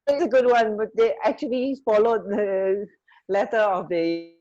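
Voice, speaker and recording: A woman's voice: medium pitch, steady tone, unhurried, mellow, lo-fi sound. A woman from Singapore co-hosting a radio show, swapping trivia in a chatty, hesitant, giggly way. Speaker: radio co-host. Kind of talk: casual banter, sharing trivia.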